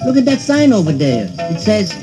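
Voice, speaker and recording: A man's voice: sits high, very animated, moderate pace, over music. A man voicing a silly, naive male pilot sidekick in a cartoon, giddy and sing-song, mixing up his words.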